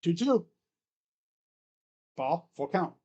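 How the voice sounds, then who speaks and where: A casual baseball play-by-play streamer with a naturally booming, loud delivery. The host is relaxed and talky, filling the gaps between pitches with chatter and idle humming. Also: extremely slow, husky, dull audio. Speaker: live-stream baseball commentator. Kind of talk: casual live play-by-play reaction.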